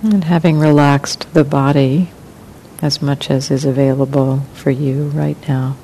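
A woman meditation teacher leading a guided meditation in calm, gentle, unhurried phrases with long pauses. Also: rough recording. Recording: clear rumbling background noise.

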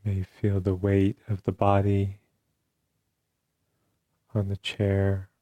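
Male meditation teacher, low-pitched and very slow, calm delivery with generous pauses. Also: no room sound. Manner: calm, unhurried, with long pauses between short phrases. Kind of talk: guided meditation instruction.

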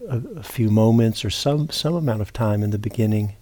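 A man with a fairly low, very soft-edged and mellow voice leads a guided meditation. He speaks as a teacher, unhurried and halting, leaving long pauses and often repeating a word before going on. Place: meditation retreat center.

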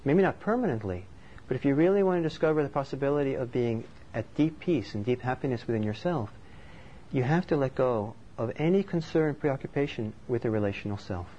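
A man's voice: medium pitch, expressive, brisk, dark tone. A man from Norway giving a thoughtful, conversational dharma talk as a meditation teacher. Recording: slightly dull recording with faint background noise.

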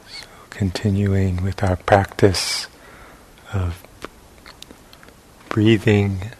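A man leading a guided meditation as a teacher, speaking in sparse short phrases with long pauses between them. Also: sits low, little pitch movement, a little mellow, slightly rough. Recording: faint hiss and background noise.

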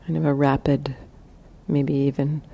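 A woman leading a guided meditation as a teacher, speaking gently in short, spaced phrases with long pauses. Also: a bass voice, little pitch movement, vocal fry, slightly rough, muffled recording.

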